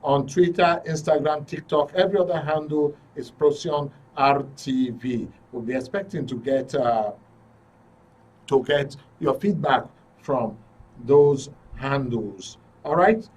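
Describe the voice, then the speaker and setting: Husky Nigerian male radio host. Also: medium pitch, a little slow, darkish tone, distant mic. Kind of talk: live call-in show hosting.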